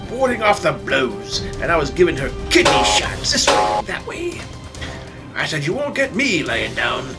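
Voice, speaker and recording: A performer voicing a doddering, folksy old man who rambles and stammers through a comic storytime monologue. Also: mid-range voice. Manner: rambling, halting, stammering old-timer delivery. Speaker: storyteller, show host.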